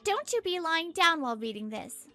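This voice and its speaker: A high-voiced, earnest wife played by a woman narrates her home life in a manga dub. She sounds fed up yet hopeful, with music running underneath. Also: big pitch swings, normal speed, bright, forceful tone, heavily acted.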